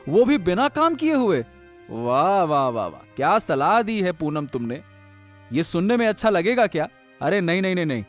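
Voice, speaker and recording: Man acting an excitable village farmer, lively intonation. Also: high voice. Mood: indignant. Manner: exclamatory, expressive. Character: two farmer brothers, one anxious, one carefree. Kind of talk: animated Hindi cartoon dialogue.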